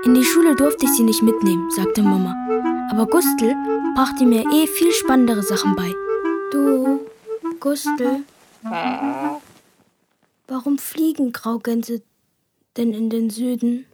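A woman's voice: fairly high pitch, slow, pauses often. A woman playing an affectionate young boy who tells his story in a childlike, earnest way in a radio play. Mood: curious. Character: young boy Peti, affectionate, devoted to his pet goose. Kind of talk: German radio play narration and dialogue. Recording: music behind the voice.

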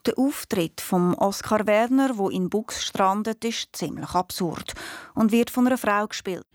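A woman's voice: mid-range voice, measured pace, dry recording, studio quality. A woman giving commentary, reviewing a stage performance.

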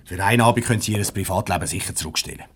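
An actor playing a grumpy, curt office boss in a 1970s radio comedy, brusque and grumbling, with a Swiss German accent. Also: male voice, sits low, rapid-fire, fairly bright tone, slightly rough. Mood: brisk, bossy.

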